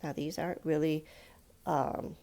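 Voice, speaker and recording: A woman's voice: low voice, level tone, measured pace, warm tone. A woman giving children an informal step-by-step drawing lesson, explaining things simply and patiently with questions to her young listeners. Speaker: children's art teacher.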